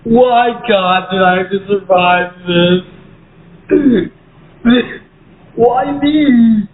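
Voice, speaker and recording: Man acting a high-voiced, echoey, desperate trapped survivor. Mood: weary despair. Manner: despairing, halting, pleading. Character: desperate trapped apocalypse survivor, grieving husband. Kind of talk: amateur horror audio drama monologue. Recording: echoey, phone-like, faint noise.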